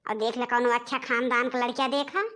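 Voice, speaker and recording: A woman's voice: on the high side, little pitch movement, fast talker, a bit bright, lightly acted. A woman voicing a patient young village daughter-in-law in a cartoon comedy, placating and respectful, with an Awadhi accent. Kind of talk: animated comedy dialogue.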